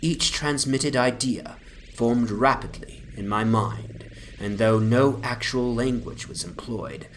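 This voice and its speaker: A man giving a solemn, deliberate dramatic narration of a literary horror story over an ambient soundscape. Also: medium pitch, slight background noise, rough recording.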